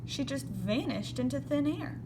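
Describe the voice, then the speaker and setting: A kind young gosling boy from a picture book, acted out by a woman reader. He talks in an earnest, friendly way at a slow pace, with expressive swings and audible background noise. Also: high voice. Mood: lonely, sympathetic. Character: kind, loyal young male water bird.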